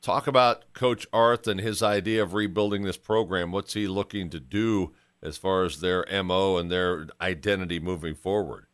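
Smooth, low-voiced male sports host. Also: fairly even intonation, moderate pace, hardly any pauses, fairly bright tone, no room sound. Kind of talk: interview.

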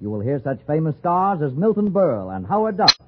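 A man's voice: fairly high pitch, sing-song, fairly quick, noisy background. An American man announcing a 1950s radio drama in a formal, dramatic manner. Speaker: program announcer. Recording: muffled old radio recording.

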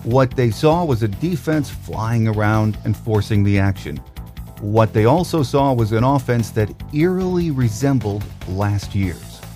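A man's voice: fairly low pitch, dark tone. A man hosting a sports podcast, presenting scripted news and introducing interviews. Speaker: podcast host.

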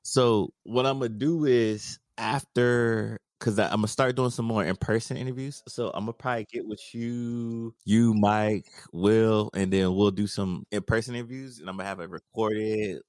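A man's voice: on the low side, fairly even intonation, normal speed, few pauses, darkish tone, no echo, clean studio sound. A man hosting a casual podcast interview, talking in a laid-back, slangy way and often repeating words. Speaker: podcast host.

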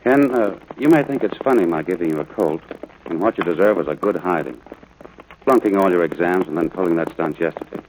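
An actor playing a stern, gruff but fair ranch father in a 1940s radio drama, firm and clipped. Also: low-pitched, fairly quick, a little mellow, lightly acted. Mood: stern, earnest. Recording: noisy vintage radio broadcast.

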